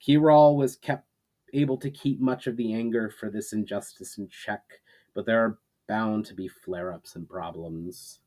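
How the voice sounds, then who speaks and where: A man voicing an earnest, solemn leader giving a measured, declamatory speech in a storybook reading. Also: lowish voice, a little mellow, natural voice. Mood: hopeful, earnest. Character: earnest, solemn male leader addressing assembled armies.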